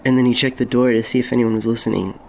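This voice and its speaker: A man's voice: mid-range voice, fairly even intonation, creaky, a little hiss or hum, close to an everyday voice. Man playing a hesitant, wistful young janitor. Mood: wistful, confiding. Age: young adult. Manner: hesitant, halting, hushed confiding storytelling. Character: lonely, dreamy young male janitor, tender and wistful. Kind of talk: intimate audio drama monologue.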